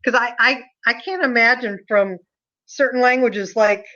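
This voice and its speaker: Older woman from the US, English tutor, chatty, bright tone, dull video-call sound. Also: on the high side, moderate pace. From United States. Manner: chatty, friendly, asks questions. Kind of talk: casual conversation lesson.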